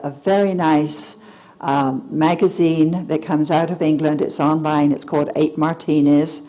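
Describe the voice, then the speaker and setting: A soft-spoken woman giving a public lecture at a symposium, hesitating often with fillers and restarts. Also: sits low, low-quality recording. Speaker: guest speaker. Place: lecture hall.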